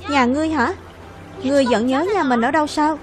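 A woman's voice: sits high, fairly even intonation, a little fast, natural voice. A woman voicing brash, bickering young heroes in a fairy-tale cartoon dub for children. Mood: teasing. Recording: music behind the voice.

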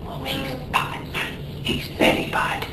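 An actor playing a boastful, crude Cockney sailor in a radio drama, slangy and excitable. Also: male voice, unhurried, distant mic, natural voice. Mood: gloomy, grumbling. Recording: roomy, noisy radio drama, off mic.

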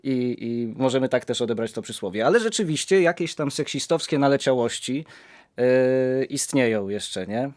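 A man's voice: mid-range voice, expressive, moderate pace, a little mellow, no room sound, studio quality. A young man reflecting casually in a colloquial, explanatory way. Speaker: participant in a community audio drama project.

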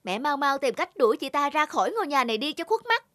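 A woman's voice: high-pitched, sing-song, fast, fairly bright tone, no echo, studio quality. A woman voicing a spoiled, jealous, rude young stepsister in a children's cartoon, whiny and snappish. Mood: jealous, annoyed.

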